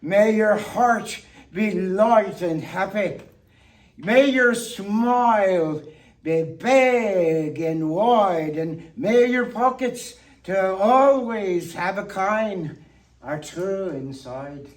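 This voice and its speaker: A man performing a theatrical monologue of toasts in a playful, tipsy toastmaster manner with deliberate pauses, recorded on a distant microphone. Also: high voice, animated.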